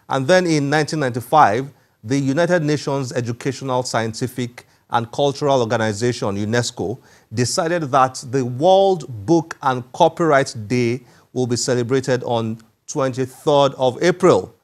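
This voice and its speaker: A man presenting a book programme on television, reading scripted narration in a measured, formal way. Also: mid-range voice. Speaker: TV book show host.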